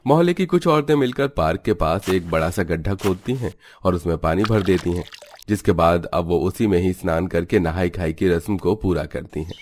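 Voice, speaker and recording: A man tells a cartoon story as a scripted narrator. His voice sits very low, and the delivery is dramatic, like a storybook. Music plays clearly underneath. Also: moderate pace, darkish tone.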